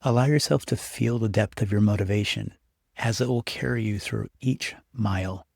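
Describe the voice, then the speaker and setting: A man narrating a scripted guided meditation as the guide, speaking in an unhurried, encouraging, instructive way. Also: on the low side, creaky, darkish tone, no room sound, clean studio sound. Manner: unhurried, instructive, encouraging second-person address.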